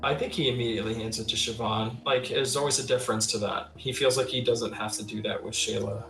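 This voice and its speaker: A man's voice: mid-range voice, fairly even intonation, fast, vocal fry, fairly bright tone. A man playing a tabletop game, talking casually as he reflects on his character. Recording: roomy echo, faint music.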